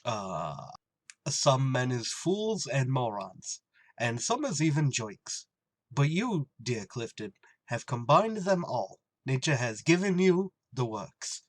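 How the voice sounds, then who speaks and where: Dopey male tavern regular, New drawl, hesitant, muddled, wildly swinging pitch, radio comedy. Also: very animated, slow, no room sound. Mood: dim, puzzled. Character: dim-witted, friendly male tavern regular Clifton Finnegan. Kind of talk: old-time radio comedy acting.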